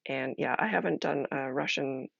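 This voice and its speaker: A woman streamer chatting casually as she explains a solo role-playing game, halting and thinking aloud. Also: rumbling low, vocal fry, a bit bright, no echo, lo-fi sound.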